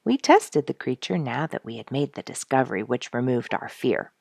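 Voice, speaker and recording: A woman reading a scripted storybook narration aloud in an expressive, deliberate storytelling manner. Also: rumbling low, gravelly, slightly rough, no room sound, clean studio sound.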